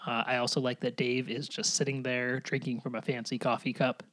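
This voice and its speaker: A man's voice: medium pitch, little pitch movement, fairly quick, a bit bright. A man co-hosting a podcast, playfully recapping episodes and cracking jokes in a casual chat. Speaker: podcast co-host.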